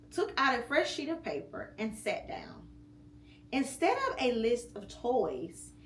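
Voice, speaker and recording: A mother reads a storybook aloud at home, taking her time and shaping each phrase with slow, deliberate pauses. Her voice sits a little high for a woman. The room is echoey, with a faint hum underneath. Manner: slow, expressive reading with pauses. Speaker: mother reading to her child.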